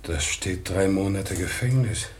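An actor in a 1960s German radio play playing a wronged, humble organ-grinder with a halting, plaintive manner. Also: male voice. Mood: pleading. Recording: roomy 1960s radio recording with rumble.

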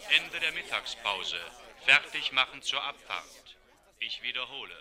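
A brisk bus driver in a radio drama. He calls out commands to passengers in a very sharp, forceful voice. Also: medium pitch, expressive, some chatter behind. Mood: commanding. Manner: calling out, commanding. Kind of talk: German radio play acting.

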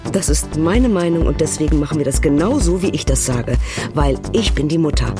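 A woman's voice: deep voice, lively intonation, fairly quick, music in the background. A woman playing an exasperated, assertive mother telling family stories in a conversational radio play monologue. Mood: indignant. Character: exasperated, assertive German mother recounting family quarrels in Beijing.

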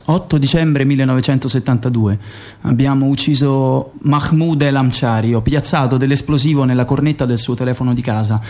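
A man's voice: mid-range voice, brisk, a little mellow, natural voice. Hard, unrepentant male assassin played by an actor. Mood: cold, factual. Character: hard, self-justifying male secret agent assassin. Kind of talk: dramatic radio theatre, testimony-like delivery.

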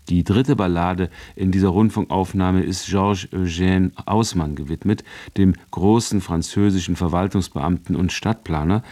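A male radio presenter reads a prepared introduction. His voice sits low, with a dark, relaxed colour. The delivery is that of scripted public radio narration.

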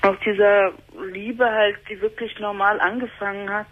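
A woman's voice: medium pitch, level tone, moderate pace. Twenty-something woman from on a call-in show, hesitant, lots of ums, tinny phone sound. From Germany. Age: 20s. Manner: hesitant, many fillers, earnest. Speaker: caller. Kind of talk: personal call-in conversation. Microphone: telephone. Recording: phone line on radio.

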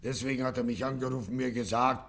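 A male actor plays a stubborn gangster boss of middle age in an old radio satire. His voice is gravelly and sharp, with plenty of force. He blusters and makes demands in a gruff, thick-skulled way. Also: medium pitch, fairly even intonation, fast, natural voice. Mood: gruff, defensive. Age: middle-aged. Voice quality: gravelly, hard-edged. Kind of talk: 1950s German radio play acting.

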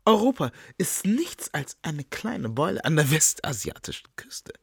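An actor playing a cocky, indignant smuggler with a colloquial, provocative manner in a radio play. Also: male voice, a little high, very animated, a little slow, gravelly, breathy edge, no room sound, studio quality, heavily acted. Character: cocky, indignant male smuggler, casual self-styled helper and philosopher.

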